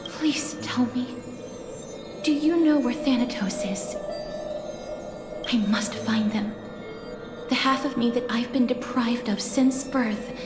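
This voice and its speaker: An actress playing a gentle, solemn young woman who guides the dying, speaking softly and politely in a video game drama. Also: on the high side. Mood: solemn longing. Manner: slow, measured, polite. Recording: echoey, over background music.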